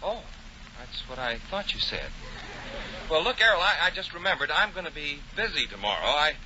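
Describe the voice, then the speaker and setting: A man announcing on 1940s radio with folksy, emphatic patter and sponsor pitches. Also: big pitch swings, moderate pace, pushed and bright. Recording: dull, noisy 1940 radio recording.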